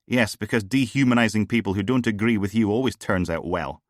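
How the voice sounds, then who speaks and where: A man voicing a tired, dry-witted male detective who answers with flat exasperation. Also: fairly low pitch, a little fast, dry recording, natural voice. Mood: exasperated.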